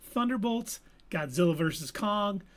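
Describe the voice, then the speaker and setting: A man co-hosting a live podcast, giving firm, animated opinions in casual chat. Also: high-pitched, unhurried. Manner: opinionated, animated movie talk. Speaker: podcast co-host.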